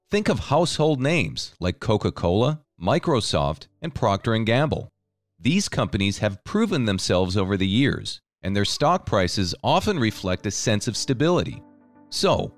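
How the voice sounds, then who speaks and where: A man reading a scripted narration in a smooth, evenly paced way. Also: fairly low pitch, no room sound. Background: soft background music.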